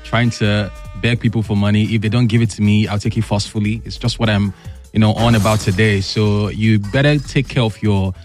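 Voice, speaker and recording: A man's voice: lowish voice, steady tone, a little fast, a little mellow, faint music behind. Jokey young radio man. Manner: jokey, mock-threatening, laughs a lot. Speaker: radio co-host. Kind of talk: radio show banter.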